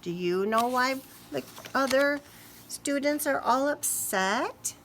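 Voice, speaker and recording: A woman reads a picture book aloud for small children. Her voice is bright and she takes her time, telling it expressively and turning to ask her young listeners questions. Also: sits high, lively intonation, takes it slowly, slight background noise. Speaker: children's story reader.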